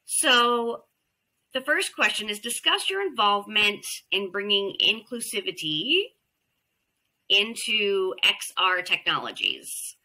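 A woman's voice: medium pitch, animated, slow, bright, forceful tone, poor audio. A woman moderating a video call panel, asking the guests questions in an encouraging way.

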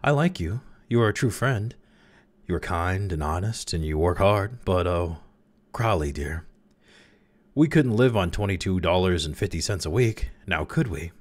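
A gentle young working woman from a storybook, voiced by a male narrator. She speaks softly and calmly, kind but practical, with a tender lilt that moves freely in pitch. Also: brisk, hardly any pauses. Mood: soft, regretful. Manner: soft, calm, kindly. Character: gentle, practical, lovely young business girl. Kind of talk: dramatized story reading.

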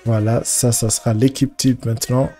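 A man with a head cold giving casual, halting commentary as he streams a football video game, often repeating his words as he thinks aloud. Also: medium pitch, level tone, dark tone, faint music behind. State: congested with a cold. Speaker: gaming streamer.

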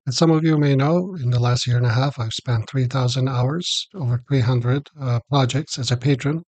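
A man's voice: medium pitch, darkish tone, no room sound. A man, a course creator, giving an informal promotional talk about membership perks.

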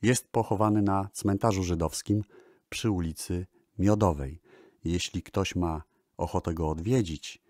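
A man narrating a scripted radio-style music programme in a formal, measured, deliberate way. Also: sits low, a little mellow, no echo, studio quality.

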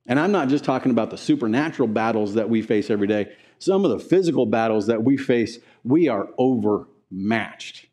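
A man's voice: mid-range voice, animated, brisk, few pauses, dark tone. A man in his forties, a pastor preaching a conversational teaching sermon in a church sanctuary, gesturing as he talks and asking the room questions.

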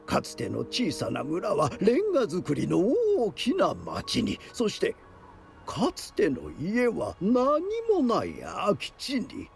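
An actor playing a theatrical storyteller of eerie legends, speaking with deliberate suspense and a gravelly creak. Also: male voice, fairly high pitch, huge pitch swings, full character voice. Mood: suspenseful, grave. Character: theatrical male storyteller spinning eerie mountain legends, dramatic, knowing. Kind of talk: dramatic oral storytelling. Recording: loud music under the voice.

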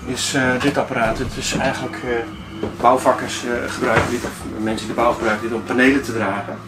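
A man's voice: mid-range voice, flat delivery, a little fast. A man in his fifties giving an informal demonstration to camera, hesitant and often searching for words. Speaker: sailing vlogger. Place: inside a sailboat cabin at the navigation desk. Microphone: camera a few steps away. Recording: roomy, distant mic, clearly noisy.

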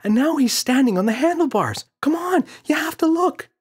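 A man voicing an excited, pleading little boy in a children's storybook, frantic and insistent. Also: very high-pitched, moderate pace, voice pushed up. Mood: urgent, amazed.

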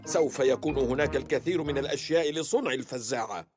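A man's voice: mid-range voice, fast talker, natural voice. An actor voicing a jolly elderly grandfather pig in a dubbed cartoon, cheerful and prone to flustered yelps. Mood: eager. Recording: music bed under voice.

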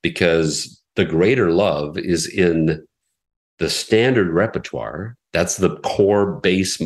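A man in an interview, a radio executive explaining his station's approach in a measured way with frequent fillers. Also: sits low. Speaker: classical radio station president. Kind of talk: conversational interview answers.

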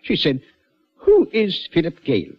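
An actor playing a troubled, defensive middle-aged prison governor, insistent and clipped, in 1940s radio style. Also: male voice, a little high, huge pitch swings, unhurried, husky, heavily acted. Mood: grave, recounting. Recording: dull old 1940s radio recording.

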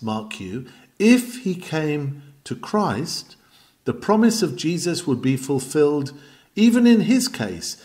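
A man's voice: on the high side, darkish tone. A man reading an old sermon aloud in an emphatic, exhorting manner, with old-fashioned oratory. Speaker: reader of a historical sermon.

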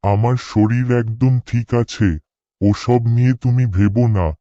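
A man's voice: medium pitch, steady tone, a little slow, mellow, no room sound, muffled recording, lightly acted. A man voicing a dutiful, earnest village cremation-ground worker in a horror cartoon. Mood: calm. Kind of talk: cartoon dialogue and spoken thoughts.

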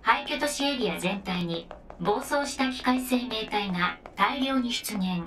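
An actress voicing an emotionless robotic support pod in a video game, giving clipped formal reports. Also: female voice, a little high, animated, a little slow, a bit bright, slight background noise. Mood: impassive, businesslike. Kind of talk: video game mission briefing.

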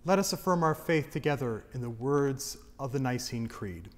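A man leading a congregation through a creed in steady liturgical recitation. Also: medium pitch, moderate pace. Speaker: worship leader. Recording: long church reverb.

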